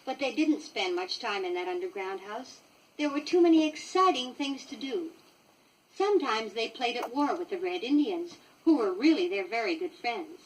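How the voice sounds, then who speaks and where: An American woman reading a children's story from a script, expressive and clearly paced for young listeners, on a 1960s vinyl record. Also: fairly high pitch, normal speed, far from the mic, slight background noise, low-quality recording. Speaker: storybook reader.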